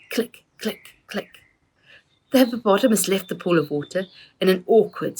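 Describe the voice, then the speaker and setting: A woman's voice: lowish voice, a bit bright, breathy edge. A woman reading the part of a storybook father who recounts a childhood adventure in a wry, dramatic way. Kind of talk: storybook character narration.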